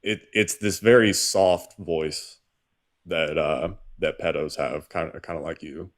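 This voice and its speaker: A man co-hosting a political call-in show, dry and condescending, repeating himself haltingly as he argues. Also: extremely low pitch, steady tone, no room sound. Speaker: live show co-host.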